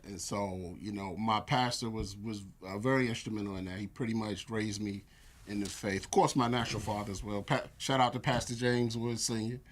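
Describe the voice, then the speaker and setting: An American man in his forties, a church elder, telling relaxed stories with lots of fillers in a casual interview. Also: on the low side, a little fast. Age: 40s. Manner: relaxed storytelling with frequent fillers.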